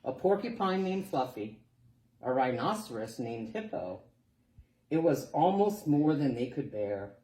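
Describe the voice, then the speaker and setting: Slow, expressive woman storyteller in a roomy space. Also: a bass voice. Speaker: library storytime reader. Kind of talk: animated children's story reading. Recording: roomy, distant mic, faint background noise.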